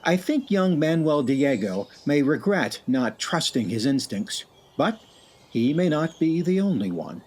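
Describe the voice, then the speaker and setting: An actor narrating a scripted radio play in a wry, deliberate, theatrical way. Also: male voice, fairly high pitch, big pitch swings, darkish tone, heavily acted. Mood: wry, knowing. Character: wry, measured male storyteller narrator. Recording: clear music bed behind narration.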